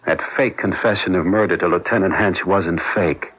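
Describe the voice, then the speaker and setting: Relentless bitter husband, low husky voice, prosecutorial delivery, old radio drama, dull sound. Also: male voice, fairly even intonation, normal speed. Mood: accusing. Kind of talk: 1970s radio drama acting.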